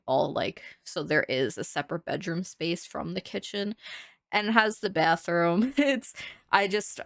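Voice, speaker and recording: A young woman talks in a low, gravelly voice with a nasal, congested edge. She rambles in a chatty way and laughs often, recorded dry and close with no room sound. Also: dry recording. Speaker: Sims builder narrating a speed build. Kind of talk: casual voice-over commentary.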